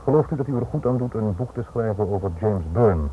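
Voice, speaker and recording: An actor playing a guarded Dutch police inspector in a radio play, formal, measured and quietly insistent, on an old recording. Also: male voice, on the low side, close to an everyday voice. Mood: polite, guarded. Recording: muffled phone-like old radio recording, noisy.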